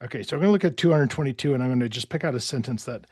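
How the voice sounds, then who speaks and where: A man's voice: medium pitch, rapid-fire, darkish tone, slightly rough, no room sound, clean studio sound. A man hosting a casual interview podcast, rambling a little and often restarting his thoughts. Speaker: podcast host.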